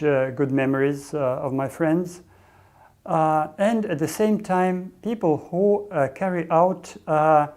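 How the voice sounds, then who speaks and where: A man answering interview questions hesitantly, filling pauses with many ums and uhs. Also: fairly high pitch, a little mellow. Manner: hesitant, heavy fillers.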